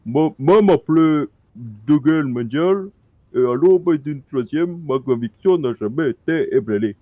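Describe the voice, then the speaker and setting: A man's voice: fairly high pitch, animated, unhurried, no echo, phone-quality sound. Man stumbling through game text aloud. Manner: stumbling reads with joking asides. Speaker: streamer. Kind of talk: reading in-game documents aloud during a co-op stream.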